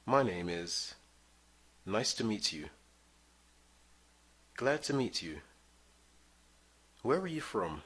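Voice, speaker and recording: A man teaching English, reading short phrases slowly and clearly for listeners to repeat. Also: fairly low pitch, very animated, a bit bright. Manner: clear drill-style reading of phrases for repetition. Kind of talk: slow scripted language lesson.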